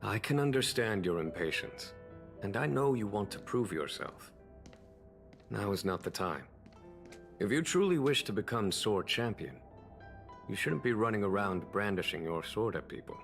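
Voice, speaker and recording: Low-voiced, weary general, patient and wise. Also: male voice, lively intonation, creaky, fairly bright tone, faint music behind. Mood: patient, firm. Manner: measured, deliberate, formal. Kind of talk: scripted video game voice acting.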